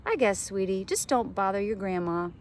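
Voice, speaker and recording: Woman playing a gentle storybook mother. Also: medium pitch, moderate pace, slight background noise, close to an everyday voice. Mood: soothing. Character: gentle, worried mother of young girls.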